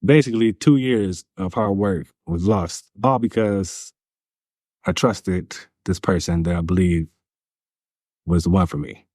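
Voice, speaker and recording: A man in his forties telling his own story in a confessional vlog, soft and hesitant, with many fillers. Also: deep voice, a little slow, no echo, studio quality. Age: 40s.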